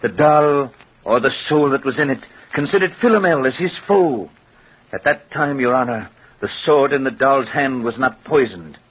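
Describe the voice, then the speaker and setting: An actor playing a cruel, sardonic middle-aged doll-maker in an old radio horror drama, with a theatrical, dramatic delivery. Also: male voice, medium pitch, animated, normal speed, breathy edge. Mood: intense, haunted. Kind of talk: vintage radio horror acting. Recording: muffled, noisy old radio recording.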